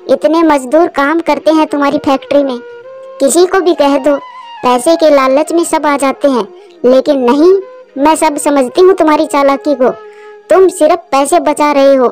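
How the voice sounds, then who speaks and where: Woman as a high-voiced nagging crow wife. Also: close to an everyday voice. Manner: scolding, demanding. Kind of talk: Hindi cartoon dialogue.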